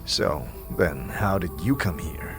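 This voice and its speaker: A man's voice: deep voice, expressive, slow pace, music in the background. A man delivering scripted dramatic narration and dialogue as narrator and lead of a fantasy game audio series.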